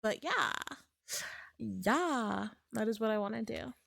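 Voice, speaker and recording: A woman streamer in casual, rambling chat, her voice a bit raspy as if she is getting sick, with lots of fillers and restarts. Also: fairly high pitch, lively intonation, slow, fairly bright tone, no room sound, studio quality. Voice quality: slightly raspy.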